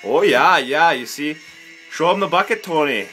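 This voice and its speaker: A man's voice: a little high, animated, normal speed, over music. Joking young man in an ice shack. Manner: joking, laughs often, wry asides. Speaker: friend filming a fishing trip. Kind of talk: casual banter. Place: small ice fishing shack.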